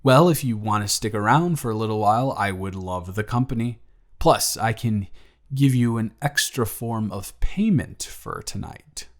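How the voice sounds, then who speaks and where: A young man playing a grateful single father who talks softly and teases gently in a romantic audio story. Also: on the low side, expressive, moderate pace, close to an everyday voice. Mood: tender, playful. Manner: soft-spoken, gently teasing. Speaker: audio drama performer.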